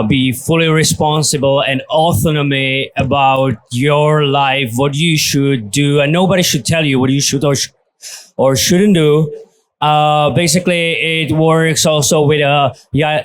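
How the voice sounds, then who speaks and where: Panelist, man, slightly high voice, hesitant unscripted delivery. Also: clean tone. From Czech Republic. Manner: halting, many fillers and restarts. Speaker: panelist, relocation consultant. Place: conference stage.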